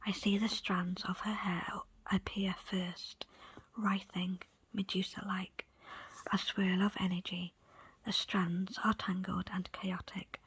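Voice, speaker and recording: A woman reading a book aloud as ASMR in a soft, breathy, unvoiced whisper, with deliberate pauses between short phrases. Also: medium pitch, little pitch movement, no echo, low-quality recording. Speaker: audiobook reader. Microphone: close, dry microphone.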